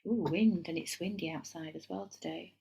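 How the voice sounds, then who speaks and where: A woman's voice: fairly low pitch, moderate pace, vocal fry, warm tone. A middle-aged woman with a Yorkshire accent, giving a casual tarot reading at home and wandering into chatty asides. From England. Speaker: tarot reader.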